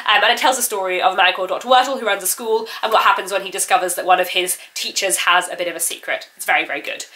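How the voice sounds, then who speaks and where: A woman's voice: medium pitch, sharp tone. A young woman with a cold and a slightly failing voice, chatting enthusiastically about her new books in a casual vlog. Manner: chatty and enthusiastic, with frequent "really, really". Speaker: book vlogger. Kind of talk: casual book haul vlog.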